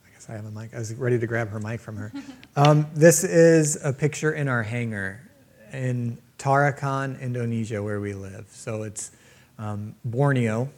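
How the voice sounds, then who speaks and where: A man's voice: mid-range voice, moderate pace, a little mellow, slight background noise. Plainspoken missionary man. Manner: casual, plainspoken, with fillers. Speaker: missionary speaker. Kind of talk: church presentation talk.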